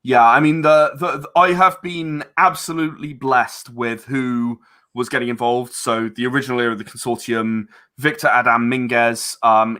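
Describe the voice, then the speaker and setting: A man's voice: medium pitch, sharp tone. A man chatting casually as an interview guest, hesitant and self-correcting, earnest and polite. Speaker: interview guest, game publisher and audio drama creator.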